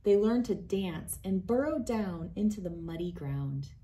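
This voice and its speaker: Woman, thirties, children's read-aloud host, expressive storybook delivery, mid-range voice. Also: mid-range voice, measured pace, background noise. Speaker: host reading a picture book aloud.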